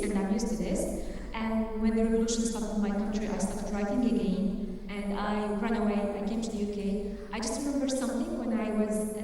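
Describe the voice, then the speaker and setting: A woman's voice: mid-range voice, dark tone. Syrian woman speaking earnestly in an echoey hall. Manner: earnest, halting, with non-native phrasing. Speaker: audience member asking a question. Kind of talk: emotional public question from the floor. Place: large church hall. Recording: echoey hall, distant mic, faint noise.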